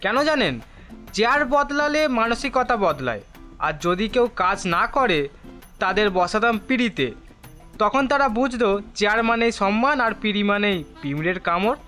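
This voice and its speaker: Comic audio drama role: male actor as luckless cocky job hunter, high bright voice, glib and theatrical. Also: lively intonation, normal speed, faint music behind. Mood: eager, cocky. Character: hapless, wisecracking male job seeker, overconfident, perpetually unemployed. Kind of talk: comedy audio drama acting.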